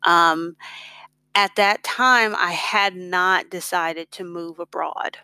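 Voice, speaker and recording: A middle-aged woman with an American accent talks in a slightly low voice at a very unhurried pace. She sounds close and dry, with no room around her. Her delivery is casual, reflective personal storytelling. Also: fairly even intonation, bright, forceful tone, smooth, no echo. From United States. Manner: reflective, conversational. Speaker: blogger on expat life and personal finance.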